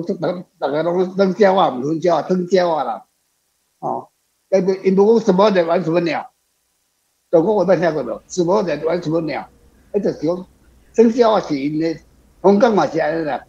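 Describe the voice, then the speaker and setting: A man's voice: on the high side, vocal fry, mellow, highs cut off. A man with a Taiwanese accent explaining things casually in a repetitive, rambling way as a panel guest. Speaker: panel guest, author responding.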